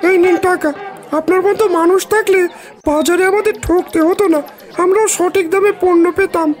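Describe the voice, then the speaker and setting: Woman playing very high-voiced village market customer. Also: fairly even intonation, brisk, a little mellow. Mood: approving. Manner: approving, chatty. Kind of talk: Bengali moral-tale cartoon dialogue.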